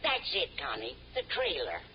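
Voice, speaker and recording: A woman's voice: sits low, huge pitch swings, normal speed, slight background noise. An actress playing a dotty, absent-minded, kindly older woman in an old radio sitcom, vague and fluttery in manner. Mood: chatty, muddled. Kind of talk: 1950s radio situation comedy acting. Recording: muffled old radio recording, audience behind.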